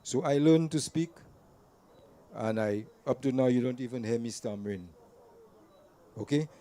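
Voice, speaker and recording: Mellow-voiced man telling stories. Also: medium pitch, normal speed. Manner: earnest, repeats words as he restarts, plain-spoken. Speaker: invited speaker at a community gathering. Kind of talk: personal storytelling speech to an audience. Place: community event venue.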